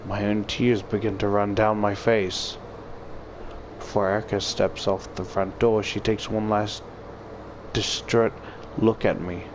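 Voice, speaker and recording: A man's voice: fairly low pitch, noisy background. A man narrating a first-person story dramatically and expressively, as if reading a visual novel aloud. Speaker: visual novel reader, YouTuber. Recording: loud background music. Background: loud music.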